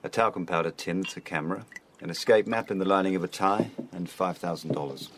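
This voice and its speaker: An actor with an English accent playing a wary gentleman spy posing as a salesman in a radio drama, clipped and formal. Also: deep voice, quick pace, vocal fry, noisy background. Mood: wary, weary.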